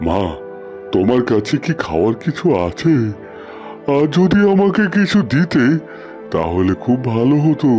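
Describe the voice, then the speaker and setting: A man's voice: medium pitch, very animated, unhurried, pauses often, a little mellow, faint music behind. An actor playing a kindly, solemn wandering holy man who gives blessings, in a cartoon fairy tale. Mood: pleading.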